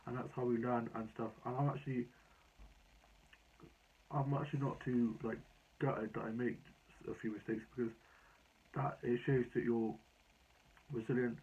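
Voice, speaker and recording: Husky, flat-toned young British man. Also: medium pitch. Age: young adult. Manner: rambling, anecdotal. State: slightly hoarse. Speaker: vlogger. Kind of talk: casual personal vlog chat. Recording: dull lo-fi sound.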